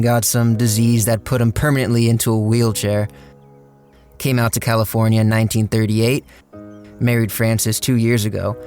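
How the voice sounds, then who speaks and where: A man's voice: medium pitch, a little mellow, natural voice. An actor playing an easygoing handyman with a folksy, rambling way of telling his shady story in a radio drama. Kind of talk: radio drama first-person narration and dialogue.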